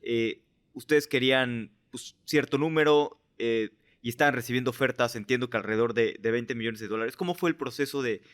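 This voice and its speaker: A man hosting a conversational interview, asking winding, halting questions padded with filler words. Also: mid-range voice. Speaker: podcast host, interviewer.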